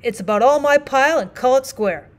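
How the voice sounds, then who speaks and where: A plainspoken frontier miner, as a woman reads him, rambles along in a rustic Old West drawl. The voice sits fairly high and comes out louder than the narration around it, earnest and homespun. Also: a little fast, close to an everyday voice. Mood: earnest pleading. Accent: put-on rustic Old West drawl. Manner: plainspoken, rambling, folksy dialect. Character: rough, earnest male frontier miner, middle-aged.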